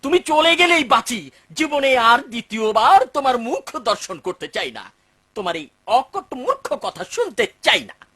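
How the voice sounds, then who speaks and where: Arrogant rich man, strikingly high-pitched. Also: big pitch swings, normal speed, pushed and bright, slightly rough, heavily acted. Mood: indignant, defiant. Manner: theatrical, swinging from mocking to wailing. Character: arrogant, boastful rich man who owns two orchards. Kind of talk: animated moral story dialogue.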